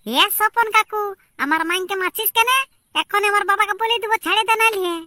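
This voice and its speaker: A woman's voice: extremely high pitch, fairly quick, bright, forceful tone. A woman voicing an indignant, tattling little village boy in a comic cartoon, with a rural Purulia Bengali accent. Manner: scolding, tattling. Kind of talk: amateur comic cartoon dialogue.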